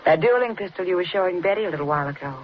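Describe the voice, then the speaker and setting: An actress playing a poised, sweetly menacing wife, with honeyed, pointed politeness in 1940s radio style. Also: female voice, on the low side, expressive, racing, natural voice. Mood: veiled menace. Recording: muffled, noisy old radio recording.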